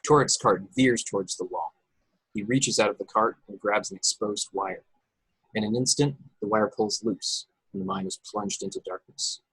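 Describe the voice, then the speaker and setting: Husky low-voiced man narrating. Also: normal speed, a bit bright, no room sound, poor audio. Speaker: narrator reading stage directions at a table read. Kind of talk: scripted narration with occasional stumbles. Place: remote video call.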